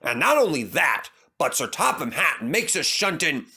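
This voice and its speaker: Man as storybook steam engine, male, big, pompous, haughty, forceful, lively pitch. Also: normal speed, gravelly, breathy edge, full character voice. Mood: indignant. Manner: haughty, complaining.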